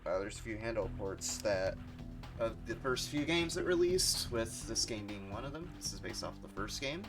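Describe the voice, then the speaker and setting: A man's voice: fairly low pitch, animated, normal speed. A man giving casual, rambling livestream commentary as he explains the video games he plays. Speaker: video game streamer. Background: game music.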